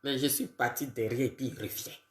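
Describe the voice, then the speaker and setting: A woman who has a bad cold, greeting her viewers one by one on a casual live video and talking in a halting way with many fillers. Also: very deep voice, steady tone, reverberant. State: congested with a cold, sniffly and tired. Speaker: political live streamer.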